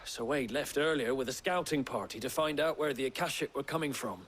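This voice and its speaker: A man playing a loyal guardsman in a video game, formal and respectful, with a husky voice. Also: medium pitch, fairly quick, a bit bright, close to an everyday voice. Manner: formal, deferential to his lord. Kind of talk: dramatic cutscene acting.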